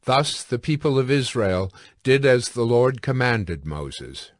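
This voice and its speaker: A man narrating scripture as a solemn, deliberate storyteller in a scripted production. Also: medium pitch, big pitch swings, no echo, studio quality.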